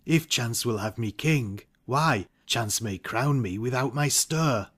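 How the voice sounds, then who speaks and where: A man's voice: mid-range voice, takes it slowly, fairly bright tone, husky. Man acting a brooding, ambitious warrior thane. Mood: brooding, troubled. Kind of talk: dramatic Shakespeare reading.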